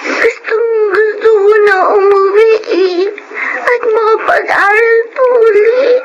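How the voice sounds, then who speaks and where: Very high, slow, crying little girl, noisy background. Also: steady tone, dull audio. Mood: tearful. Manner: crying, pleading. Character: sick young daughter Cheryl, tearful.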